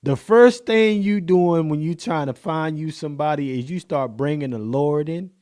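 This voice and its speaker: A man's voice: a little high, normal speed, darkish tone. A man hosting a podcast, reacting to a dating show clip with casual, joking commentary and frequent laughter. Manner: laughing, joking, playful slang.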